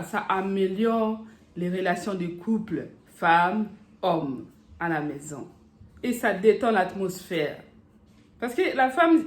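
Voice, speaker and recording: A woman's voice: medium pitch, a little mellow. Preachy woman in an echoey room. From Africa. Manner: emphatic and preachy, checks in with "d'accord?"; ends with blessings in a sermon-like cadence. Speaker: family advice vlogger. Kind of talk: advice monologue to camera.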